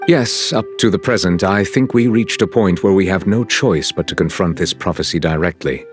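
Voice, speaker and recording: An actor playing a solemn, courteous chief justice in a video game, speaking in formal, measured, stately phrases. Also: male voice, deep voice, music in the background, lightly acted. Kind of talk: scripted game cutscene dialogue.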